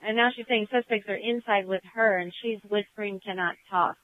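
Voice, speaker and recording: A woman's voice: medium pitch, fairly even intonation, a little fast, dry recording. A woman working as a police dispatcher, relaying calls over a police radio. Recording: narrow, tinny police radio transmission.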